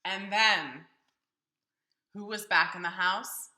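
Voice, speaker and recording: A woman's voice: mid-range voice, takes it slowly, bright, forceful tone, echoey room. A woman reading a storybook aloud to young children expressively and theatrically, putting questions to her listeners.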